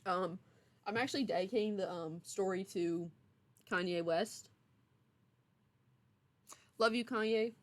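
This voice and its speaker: A woman's voice: medium pitch, measured pace, long pauses. Playful young woman chatting on a livestream. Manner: playful, teasing, mock-stern banter. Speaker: streamer, game writer. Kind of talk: casual livestream chat.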